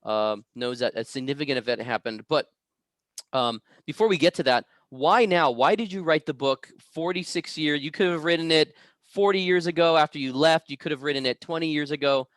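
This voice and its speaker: A man from the United States hosting an informal online interview as the interviewer, speaking in a halting, hesitant way. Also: on the high side, a bit bright, no room sound.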